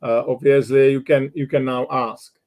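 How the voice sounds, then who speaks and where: A man's voice: medium pitch, little pitch movement, normal speed, a little mellow. A man in his fifties with a Czech accent, a non-native English speaker, co-hosting a casual live chat and taking questions, with lots of "you know" and "right". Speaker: co-host.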